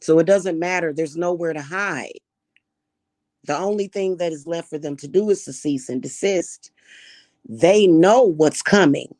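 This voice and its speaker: A woman with a Missouri accent speaks in a fairly low voice, blunt and scornful as she talks straight to her viewers. Her tone is mocking and sure of itself. The sound is dry and close, with no room echo. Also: measured pace, darkish tone. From Kansas City, Missouri. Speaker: spiritual practitioner and channel host. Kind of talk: casual monologue to viewers.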